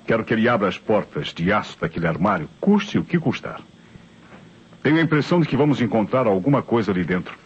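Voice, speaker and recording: Probing Brazilian police inspector, animated delivery. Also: male voice, fairly low pitch, fast talker, creaky, slightly rough, full character voice. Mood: commanding. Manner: interrogating, pointed, commanding. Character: probing, insistent police inspector, adult man. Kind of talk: old Brazilian radio drama acting. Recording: dull old radio recording, faint hiss.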